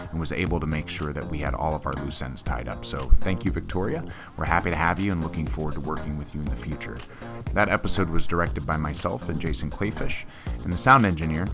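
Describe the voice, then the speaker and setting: A man with a low voice hosts a podcast outro. He speaks informally and appreciatively as he gives credits, with music clearly playing behind him. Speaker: host and director.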